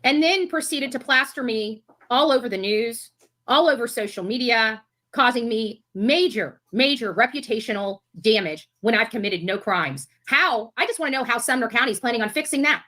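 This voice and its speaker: A woman's voice: fairly high pitch, lively intonation, normal speed, sharp tone. A woman commentator giving an impassioned monologue to her viewers, emphatic and indignant, repeating herself for force. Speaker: YouTube commentator.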